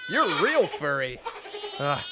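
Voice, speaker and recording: A man playing a scrappy, wisecracking space-station janitor in a sci-fi audio drama, informal, teasing and expressive. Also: a little high, takes it slowly, heavy background noise, full character voice. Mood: delighted, tender.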